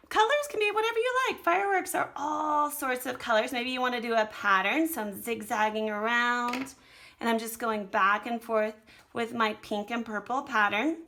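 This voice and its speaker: An American woman gives a casual drawing lesson for children. Her voice is bright and forward, pitched a little high. She speaks directly to her young pupils, encouraging them as she demonstrates each step. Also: a little hiss or hum. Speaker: art teacher giving a drawing lesson to children.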